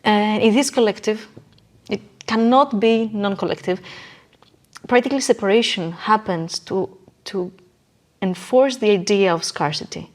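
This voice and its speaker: A woman's voice: medium pitch, a little slow. A woman guest in an interview, explaining her ideas conversationally in emphatic, short declarative phrases and repeating her key points.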